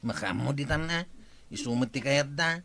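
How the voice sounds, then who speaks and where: Bright-voiced, deferential Ilocano workman. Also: medium pitch, normal speed, creaky. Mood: questioning, resigned. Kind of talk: Ilocano radio comedy drama acting.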